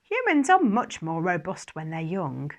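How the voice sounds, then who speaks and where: A cocky male archangel , as a woman reader puts him on, breezy and dismissive. He talks briskly and teasingly, as in a dramatised story reading. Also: on the low side, wildly swooping pitch, full character voice.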